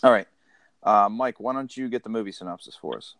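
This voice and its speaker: Low-voiced man hosting a casual podcast. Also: a little fast, creaky, no room sound, studio quality. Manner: relaxed, steers the conversation. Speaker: podcast host.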